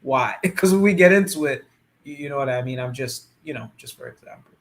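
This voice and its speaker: A man's voice: medium pitch, fairly quick, a bit bright, slight background noise. A man co-hosting a discussion on a video call, posing questions and laughing between points. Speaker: co-host.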